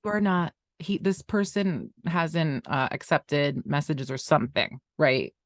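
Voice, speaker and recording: Low-voiced woman telling lively stories. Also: measured pace. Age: middle-aged. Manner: animated storyteller, frequent laughs and restarts. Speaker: podcast co-host. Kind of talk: casual chat.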